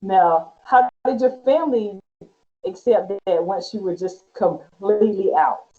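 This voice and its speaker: A woman's voice: medium pitch, unhurried. A woman hosting an interview, asking questions and answering with short encouragements like "wow". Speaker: host. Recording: dull lo-fi sound.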